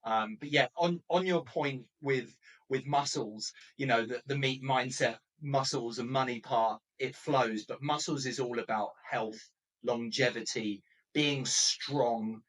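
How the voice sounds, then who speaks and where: A man's voice: mid-range voice, measured pace, fairly bright tone, distant mic, low-quality recording. Upbeat male host, a bit off-mic. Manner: upbeat and encouraging. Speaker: podcast host. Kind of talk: casual interview talk.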